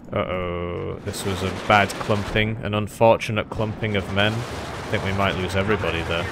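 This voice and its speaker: A man giving casual, rambling and self-deprecating commentary on his own strategy game as he plays. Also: low voice.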